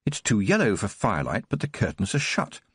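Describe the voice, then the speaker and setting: A man voicing a frail elderly aristocrat who rambles through tearful, nostalgic memories in a husky, trembling voice. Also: on the low side, huge pitch swings, a little fast, a bit bright, dry recording, clean studio sound, full character voice. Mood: enthusiastic. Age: older. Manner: trembling, wistful, rambling recollection.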